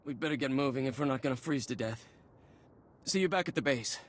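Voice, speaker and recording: A man's voice: medium pitch, brisk, slight background noise, close to an everyday voice. An actor playing a wry, sarcastic male soldier in a video game, tossing out sardonic quips. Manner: sardonic, quippy. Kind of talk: scripted video game cutscene acting.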